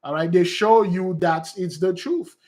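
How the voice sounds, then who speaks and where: A man speaks in a somewhat high voice, teaching his listeners in a loose, unscripted way. He circles back and repeats himself as he goes. He calls his audience "beloved brothers and sisters" like a preacher. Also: a little slow, darkish tone. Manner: rambling and repetitive, addresses listeners as beloved. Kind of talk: informal teaching monologue.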